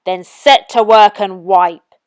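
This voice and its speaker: Wicked wizard villain for a children's storybook, played by a woman: loud, menacing, slow drawn-out taunts. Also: mid-range voice, smooth, no echo, studio quality, lightly acted. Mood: taunting.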